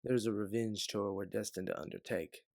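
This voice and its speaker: A man's voice: fairly low pitch, moderate pace, creaky, darkish tone, no room sound, studio quality. A man voicing a guilt-ridden, hardened former bounty hunter in a sci-fi story, blunt and emphatic.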